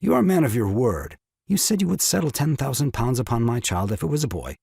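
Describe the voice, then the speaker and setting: A man's voice: medium pitch, sing-song, fast, vocal fry, a little mellow, slightly rough, dry recording, studio quality, exaggerated voice. An actor playing a brazen, bluffing young husband and new father in a comic farce, glib and formal with mock sympathy. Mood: brazenly confident. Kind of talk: comic stage farce acting.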